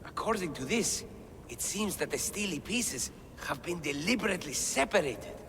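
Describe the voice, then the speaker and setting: A man's voice: fairly high pitch, big pitch swings, normal speed, fairly bright tone, big-room sound, slight background noise. An actor playing an eager male scholar deciphering ancient writing, talking about his discoveries with enthusiasm. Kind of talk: game cutscene acting.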